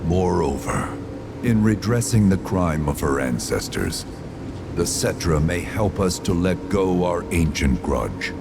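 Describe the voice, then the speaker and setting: Very deep, gravelly ancient spirit. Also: male voice, very animated, music in the background, exaggerated voice. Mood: aggrieved, pleading. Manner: solemn, grandiose, measured. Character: ancient, solemn, sorrowful spirit of a vengeful dead people. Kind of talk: formal archaic dramatic game acting.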